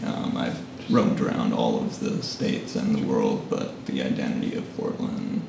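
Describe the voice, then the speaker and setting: A man in his late thirties from Japan, answering interview questions with soft, trailing, hesitant phrases. His voice has a gravelly fry. The recording sounds like a big echoey room with the mic some distance away and a steady hiss behind him. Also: very deep voice, unhurried. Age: late 30s. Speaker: guest photographer. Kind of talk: casual interview.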